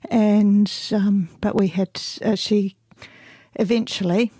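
A middle-aged woman, born in England and raised in New Zealand, answers interview questions. She speaks softly and pauses often with fillers. Her voice is mellow and the sound is dry and close. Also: medium pitch, steady tone, husky, no room sound. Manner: hesitant, soft-spoken, laughs often. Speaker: guest.

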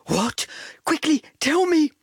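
A woman voicing an excitable, impatient male pet dog in a children's storybook, eager and exclamatory. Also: high-pitched, slow pace, no room sound, studio quality, voice pushed up, exaggerated voice. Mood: excited. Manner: eager, exclamatory, repeats words.

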